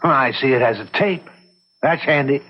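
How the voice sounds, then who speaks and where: Muffled old radio recording, man as dry skeptical older dad, medium pitch, dismissive, dramatic delivery. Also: normal speed, natural voice. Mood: bemused. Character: skeptical, grumbling bald father, older war veteran.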